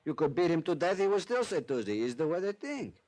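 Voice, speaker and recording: An actor in an old-time radio drama playing a worldly, amiable Latin American police chief, patiently explaining in Spanish-accented English. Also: male voice, on the high side, very animated, fairly quick, darkish tone. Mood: wry, firm.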